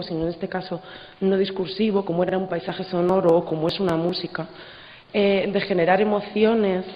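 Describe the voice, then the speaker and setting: A thoughtful audience member speaks spontaneously in a public discussion, feeling for words and checking for agreement. The voice sits at a middle pitch. It rings through a large hall with long reverb and a slightly dull sound. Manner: hesitant, reflective, seeks agreement with tag questions. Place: large indoor congress hall.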